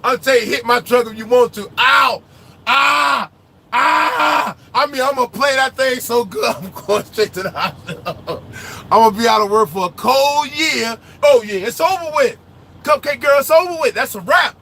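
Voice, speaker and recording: A man who drives trucks, talking casually in his truck cab on the road, loud and animated with slangy trash talk. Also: very high-pitched, moderate pace, gravelly, slightly rough. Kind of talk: casual ranting chat while driving.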